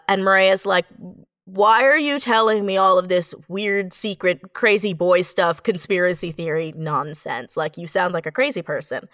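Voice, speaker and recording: A woman's voice: lowish voice, fairly bright tone, no echo, clean studio sound. A woman in her late twenties speaking earnestly and effusively as a writer in a reflective interview. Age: late 20s. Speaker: audio drama writer and voice actor.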